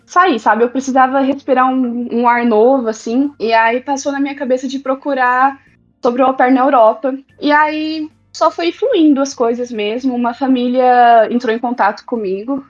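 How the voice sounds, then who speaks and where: A young woman from Brazil, a guest on the show, casually telling how she moved abroad as an au pair, in a relaxed, reflective way. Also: sits high, moderate pace, faint music behind. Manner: relaxed, reflective, filler "tipo". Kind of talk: casual storytelling. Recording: dull lo-fi sound.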